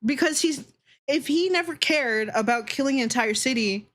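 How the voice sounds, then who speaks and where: A woman with a fairly high voice talks as a livestream host in a casual, chatty style. She is opinionated and sweary, often repeating a phrase to drive it home. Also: expressive, normal speed, a bit bright. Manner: opinionated, heavy swearing, emphatic repetition.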